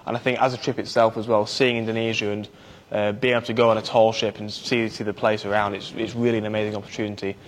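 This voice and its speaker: Fast flat-voiced young British man. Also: lowish voice, slight background noise. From United Kingdom. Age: young adult. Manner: fast, earnest, many fillers. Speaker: naval cadet.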